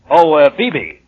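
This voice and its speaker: Casting: absent-minded senior executive, formal and genial, husky lively voice, 1940s radio style. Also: male voice, fairly high pitch, heavily acted. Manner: hemming, formal. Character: genial, absent-minded older male company boss. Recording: dull old 1940 radio recording.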